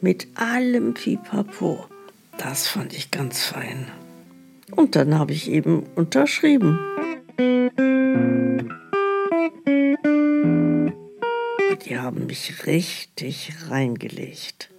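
An actress playing a hesitant, gullible elderly care home resident in a naturalistic radio drama, with a husky, creaky voice. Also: female voice, low-pitched, sing-song, over loud music, exaggerated voice. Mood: confiding, aggrieved. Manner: slow, hesitant, naively questioning.

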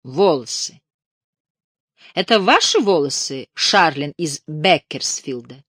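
A shrewd middle-aged agent sizing up a newcomer, cool and businesslike, as a woman narrator plays him. The voice stays fairly low and close, with no room sound at all. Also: animated, slow, a bit bright, husky, dry recording, heavily acted.

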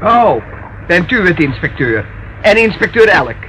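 A sharp, bright-voiced hotel landlady in a radio drama. She is sardonic and evasive, with lively swoops of mock politeness. The old recording carries faint noise. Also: on the low side, a little slow, low-quality recording. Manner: sarcastic, defiant, drawling politeness. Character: sardonic, unflustered, evasive female hotel keeper. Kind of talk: radio play acting.

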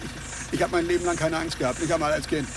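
Actor as high-pitched, agitated, stammering German man. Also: fairly even intonation, fast, background noise, lightly acted. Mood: frightened, pleading. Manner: stammering, blustering, swearing, repeats himself. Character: agitated, distrustful German man stranded in Africa. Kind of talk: naturalistic radio drama acting.

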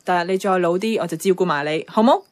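An actress playing a caring, affectionate and slightly evasive niece in a Hong Kong Cantonese radio drama, conversational and soft-hearted. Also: female voice, lowish voice, fast talker, lightly acted.

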